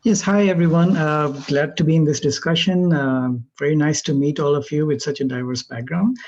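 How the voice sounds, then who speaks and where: Friendly telecom director on dull call audio. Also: male voice, on the high side, fairly even intonation, moderate pace, a little mellow. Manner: friendly, fluent, lightly self-deprecating. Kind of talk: self-introduction on video call. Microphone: video call.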